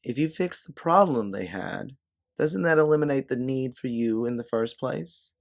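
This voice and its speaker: A man voicing a gentle, inquisitive young woman who offers shelter in an audio story. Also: medium pitch, moderate pace, pitched above the performer's own voice, natural voice. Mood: curious.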